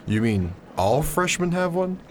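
A man's voice: fairly high pitch, sing-song, measured pace, a little hiss or hum. A man playing a naive, wide-eyed, awkward college freshman in an audio drama, speaking in a hesitant, curious, slangy way.

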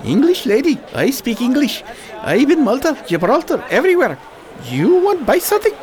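An actor playing a boastful male bazaar shopkeeper who speaks broken English, in an amateur radio drama. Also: moderate pace. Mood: eager, boastful. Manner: broken English, pushy and eager, lively pitch.

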